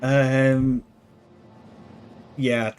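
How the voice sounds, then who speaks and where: A hoarse man drawls through relaxed live game commentary, his voice worn from hours of talking. He thinks aloud at an unhurried pace and chats with viewers, with faint music playing behind him. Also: mid-range voice. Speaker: live streamer. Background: faint background music.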